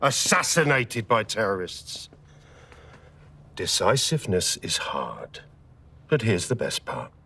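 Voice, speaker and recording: A deep-voiced, ruthless military chief. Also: male voice, sing-song, creaky, pushed and bright, heavily acted. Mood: cold, menacing. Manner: measured, emphatic oratory with deliberate pauses. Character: ruthless, self-assured male private military chief pitching drones. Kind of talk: dramatic video game cutscene acting. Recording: music behind the voice.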